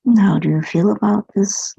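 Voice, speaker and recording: A woman hypnotherapist guiding a regression session in a soft, whispery way. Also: mid-range voice, moderate pace, gravelly, slightly rough, no room sound. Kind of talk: guided regression.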